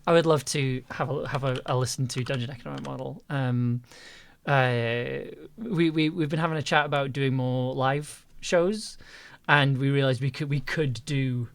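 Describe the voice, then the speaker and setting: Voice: medium pitch, moderate pace, vocal fry. A livestream host chatting casually and a little hesitantly, often repeating phrases while wrapping up a show. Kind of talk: casual chat, closing out a livestream.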